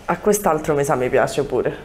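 A woman's voice: low voice, expressive, normal speed, a crowd in the background. A woman in her twenties, a patient chatting casually during treatment with brief, reactive replies. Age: 20s. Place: indoor chiropractic treatment room. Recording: echoey room, clear background noise.

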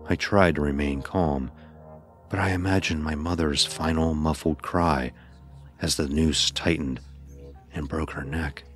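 Casting: male actor as anguished youth narrating dark fantasy horror, extremely low voice, grave and deliberate, music underneath. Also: fairly even intonation. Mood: grave, dread. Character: anguished blind youth recounting a deadly ordeal. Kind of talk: scripted first-person horror narration.

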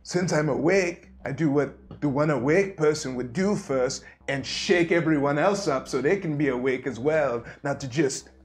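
A man playing a tabletop game, describing his character's actions in a rambling, animated way full of "like"s. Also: on the high side, moderate pace, few pauses. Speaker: player. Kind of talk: tabletop roleplay narration.

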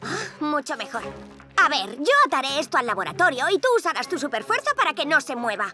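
A woman voicing a confident, bossy little girl owl superhero in a children's cartoon, energetic. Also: high-pitched, fast, heavily acted. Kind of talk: animated children's cartoon dubbing. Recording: music behind the voice.

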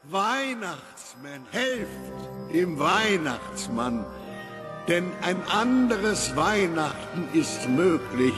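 An actor playing a grand, pompous Santa headmaster, declaiming to an assembly of orphan boys in an audio drama. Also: male voice, high-pitched, very animated, extremely slow, exaggerated voice. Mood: solemn, rousing. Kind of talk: theatrical speech to an assembly. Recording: large reverberant hall, music behind.

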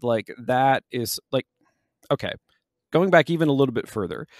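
A man's voice: mid-range voice, a little mellow, dry recording, clean studio sound. A man co-hosting a podcast, explaining things casually and loosely, with plenty of hedging. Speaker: podcast co-host. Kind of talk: casual game discussion.

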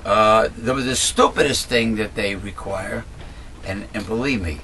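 A middle-aged man with a raspy voice, talking casually to a webcam from a small cluttered room at home, rambling with many fillers and restarts as he airs blunt opinions. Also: lowish voice, a little slow, pushed and bright, a little hiss or hum. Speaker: host of a political commentary channel. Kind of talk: casual monologue, talking to camera.

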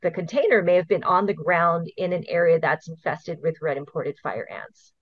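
A woman's voice: on the low side, normal speed, dry recording. A middle-aged woman from Hawaii, a program manager being interviewed, explaining things earnestly and step by step with the odd laugh. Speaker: invasive species program manager, guest. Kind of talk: casual interview answers. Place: home video call. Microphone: video call. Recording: dull, dry video call audio.

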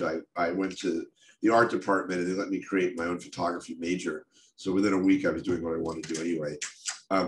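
Congested older man, very low voice. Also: slight background noise, poor audio. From United States. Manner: halting, many restarts, wry asides. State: congested with a head cold. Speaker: guest speaker. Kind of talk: casual talk over a video call. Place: home office or study, indoors. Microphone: video call webcam.

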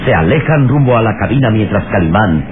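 A man's voice: on the low side, lively intonation, a little fast, lightly acted. A man narrating an adventure radio serial in a formal, dramatic, scripted style. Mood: suspenseful. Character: male storyteller of an adventure serial. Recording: noisy, tinny old radio recording.

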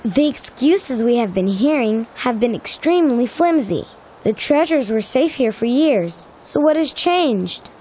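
A woman's voice: a little high, animated, unhurried, dark tone, husky, low-quality recording. A woman voicing a logical, studious young detective with formal, precise speech in a cartoon game. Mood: analytical.

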